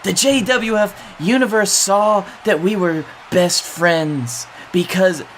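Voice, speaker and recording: A man's voice: high-pitched, fairly bright tone, a little hiss or hum, voice pushed up. Man playing a scornful wrestler cutting a promo. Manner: theatrical, scornful, emphatic pauses. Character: aggrieved, self-righteous male wrestler cutting a promo.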